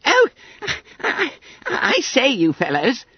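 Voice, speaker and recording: A man voicing a fat, greedy schoolboy fibber in a story reading, squeaky, stammering, spluttering and wheedling. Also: huge pitch swings, slow, husky, no room sound, muffled recording, full character voice. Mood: flustered, evasive.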